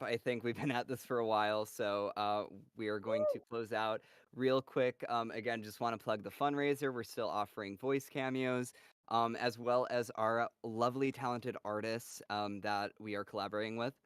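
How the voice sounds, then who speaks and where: A man's voice: mid-range voice, fairly even intonation, moderate pace, a bit bright, clear, resonant tone, no room sound, clean studio sound. A man hosting a live-stream table read and Q&A, chatting casually and laughing often. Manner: laughs often, friendly wrap-up plugs.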